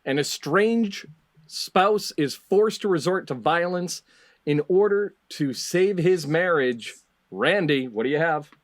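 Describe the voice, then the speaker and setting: Male quiz host: high register, deliberate pacing, announcer-style readouts mixed with casual banter. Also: animated. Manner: announcer-like, repeats contestants' answers back. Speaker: game show host. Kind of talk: lively scripted quiz hosting with casual banter.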